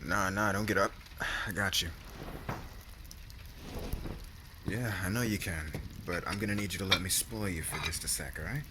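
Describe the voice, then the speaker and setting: Guy acting as a low gravelly warrior boyfriend, teasing and sweet, slow intimate asmr roleplay, noisy ambience behind him. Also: close to an everyday voice. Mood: playful, tender. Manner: casual, teasing, slangy, murmured endearments. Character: cocky, affectionate young warrior husband, teasing and possessive.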